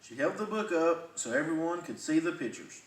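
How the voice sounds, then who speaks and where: A man reads a picture book aloud with a lot of movement in a slightly high voice. The recording is roomy, with the mic some way off. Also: animated, normal speed. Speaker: firefighter reading a children's book. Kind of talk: animated storybook read-aloud. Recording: roomy, distant mic, faint noise.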